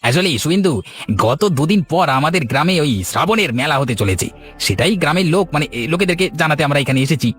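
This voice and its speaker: A man's voice: on the high side, animated, quick pace, fairly bright tone, soft background music. A man voicing an earnest village announcer in a cartoon, speaking in an emphatic public manner. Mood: explaining. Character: earnest village crier announcing fair news, older brother figure. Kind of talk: cartoon voice acting in a village comedy.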